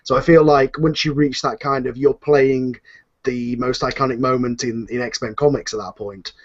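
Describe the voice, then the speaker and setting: A man's voice: mid-range voice, fairly even intonation. Rambling man hosting, dull lo-fi audio. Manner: rambling, halting, self-interrupting. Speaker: host and series writer. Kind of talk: casual online cast Q&A chat.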